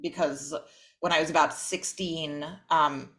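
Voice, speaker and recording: A woman's voice: deep voice, steady tone, unhurried, fairly bright tone. A woman in her thirties who grew up partly in Israel, speaking as a guest author on a video call. She talks in a thoughtful way with frequent ums. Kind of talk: casual interview.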